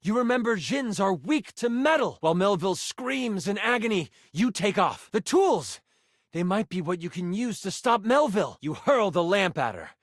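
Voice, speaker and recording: An actor playing a theatrical game master who narrates a fantasy adventure with suspense. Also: male voice, high voice, big pitch swings, moderate pace, dry recording, studio quality, exaggerated voice. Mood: excited. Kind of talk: dramatic second-person scripted narration.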